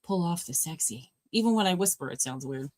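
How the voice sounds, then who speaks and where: Deep-voiced woman chatting with her stream. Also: animated, a little fast, no room sound. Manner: chatty, warm replies to chat, addresses viewers by name. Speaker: livestream host. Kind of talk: casual chat reading viewer comments.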